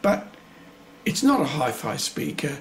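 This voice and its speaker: A man with a creaky voice gives an informal, matter-of-fact repair demonstration, a little hesitant, with dry asides. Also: on the high side, very animated, measured pace, slight background noise. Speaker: hobbyist repairer presenting own video.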